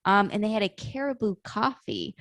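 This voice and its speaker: A woman's voice: medium pitch, moderate pace, fairly bright tone, no room sound, studio quality. A young woman from Illinois, a podcast guest, reminiscing about her hometown in a rambling, giggly way with many fillers. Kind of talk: casual chat.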